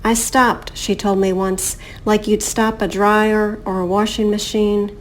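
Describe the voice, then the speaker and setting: A woman poet reading her own poems in a measured, deliberate way. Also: medium pitch, level tone. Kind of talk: poetry reading.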